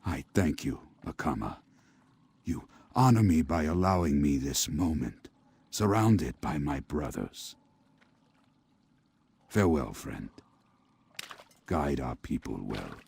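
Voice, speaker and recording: Weary but resolute old alien seer, fantasy game. Very low, slow, heavily rasped, formal. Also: male voice, big pitch swings, a little mellow, a little hiss or hum, heavily acted. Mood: solemn, grateful. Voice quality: raspy, gravelly. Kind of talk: fantasy video game voice acting.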